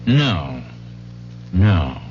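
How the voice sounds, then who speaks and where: An actor playing a shrewd, folksy police detective in a 1970s radio mystery, probing with hesitant asides. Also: male voice, deep voice, sing-song, very slow, a little mellow, full character voice. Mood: businesslike. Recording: muffled, noisy 1970s radio recording with hum.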